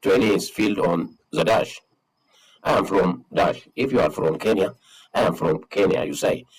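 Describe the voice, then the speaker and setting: Husky-voiced man teaching English. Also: deep voice. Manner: slow, deliberate, repeats each example sentence. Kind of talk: beginner language lesson.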